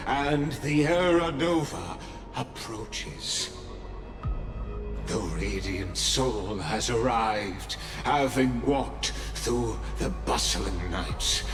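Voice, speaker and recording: A man playing a theatrical, smug and playful scholar-narrator in a video game, speaking grandly with teasing, deliberate pauses. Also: mid-range voice. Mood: triumphant proclaiming. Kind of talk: dramatic video game monologue. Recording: roomy reverb over music.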